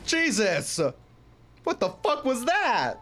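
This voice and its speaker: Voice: high voice, wildly swooping pitch, takes it slowly, pushed and bright, slight background noise. A game streamer chatting casually and reacting with exclamations and swearing, sometimes reading game text aloud.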